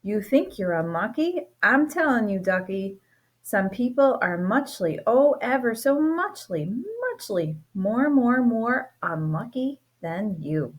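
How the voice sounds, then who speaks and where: A teacher reading a rhyming children's book aloud, playful and expressive, with a sing-song lilt. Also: female voice, on the high side, slow pace. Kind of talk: storybook read-aloud.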